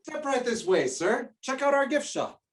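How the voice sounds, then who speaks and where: Actress as bossy gift-shop tour woman. Highish, lively swoops, folksy showy patter, stage comedy, roomy distant sound. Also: moderate pace. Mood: chirpy, salesy. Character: folksy gold-mine tour host, chirpy salesy, bossy boss. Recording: echoey room, far from mic.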